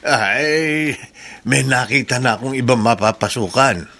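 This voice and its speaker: A man's voice: medium pitch, measured pace, pushed and bright. A man playing a jovial, cheeky uncle in a Tagalog radio drama, with an exaggerated, chuckling delivery. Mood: jovial, teasing. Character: jovial, cheeky uncle who borrows money.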